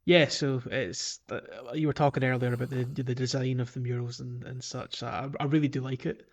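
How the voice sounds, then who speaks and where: A man's voice: mid-range voice, little pitch movement, normal speed. A man co-hosting a podcast, chatting casually about a video game in a hesitant, rambling way. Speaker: podcast co-host.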